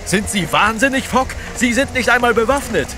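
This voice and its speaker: An actor playing a stuffy, proper British reporter in an audio drama, speaking in a fussy, pompous way. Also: male voice, very high-pitched, normal speed, music in the background. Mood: alarmed. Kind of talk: German radio play acting. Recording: noisy outdoor rain backdrop.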